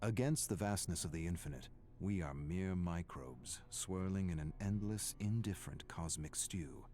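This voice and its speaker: Deep, brooding imprisoned scholar. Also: male voice, lively intonation, mellow, a little hiss or hum. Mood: ominous, philosophical. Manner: measured, solemn, formal. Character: weary, brooding male scholar imprisoned in a foggy otherworld. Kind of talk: scripted narration of journal entries from a video game. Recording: music behind the voice.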